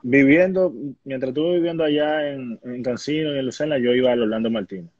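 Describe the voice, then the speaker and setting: A young man with a Dominican Spanish accent and a husky voice, casually telling stories as an interview guest on a phone video call. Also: medium pitch, a little fast, darkish tone, no room sound. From Dominican Republic. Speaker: interview guest, baseball player. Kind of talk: casual conversational storytelling. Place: indoor room at home. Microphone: phone on a live video call. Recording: muffled video-call audio.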